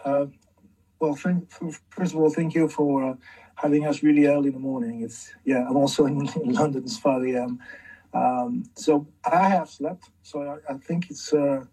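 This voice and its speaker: A man's voice: fairly high pitch, fairly even intonation, moderate pace, warm tone, husky, far from the mic, poor audio. A man giving a casual introduction as a strategist speaking on a panel.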